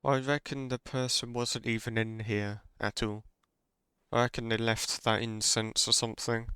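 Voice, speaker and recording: A man's voice: medium pitch, little pitch movement, moderate pace, pushed and bright, clean tone. A man giving casual commentary as he streams a video game.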